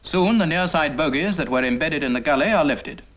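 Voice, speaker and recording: British guy narrating an old 50s army training film, highish voice, really animated, dull old soundtrack. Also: brisk. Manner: didactic, addresses the trainee with rhetorical questions. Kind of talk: 1950s British military instructional film narration, scripted.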